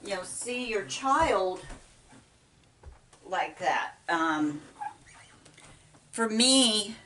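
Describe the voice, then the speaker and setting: A middle-aged woman with a Midwestern American accent, chatting casually while she folds laundry, talking haltingly with many ums and restarts. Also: medium pitch, lively intonation, sharp tone, slight background noise. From United States. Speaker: vlogger. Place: small indoor laundry room. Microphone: camera set apart from her. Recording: voice a bit far from mic.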